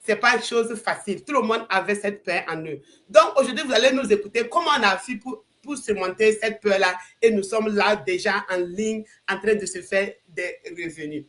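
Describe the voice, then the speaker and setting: A woman's voice: mid-range voice, moderate pace, a bit bright. A woman from Burkina Faso hosts a live online chat, hesitating and restarting her phrases. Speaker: livestream host.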